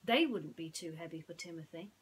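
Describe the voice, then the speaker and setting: A woman's voice: on the low side, lively intonation, fairly quick, a little hiss or hum. A woman telling a bedtime story and its simple message to listeners as a storyteller. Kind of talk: bedtime story narration and gentle reflection.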